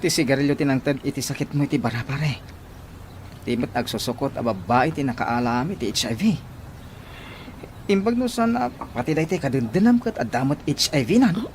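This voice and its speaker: A man's voice: medium pitch, animated, brisk, lightly acted. Blunt Ilocano man acting a nosy friend, faint noise. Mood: blunt, concerned. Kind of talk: Ilocano radio-style drama acting.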